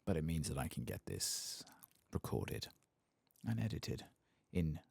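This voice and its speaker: A man giving soft-spoken ASMR game commentary, murmuring and often slipping into whispers close to the microphone. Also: very deep voice, very animated, unhurried, creaky, breathy edge, no echo.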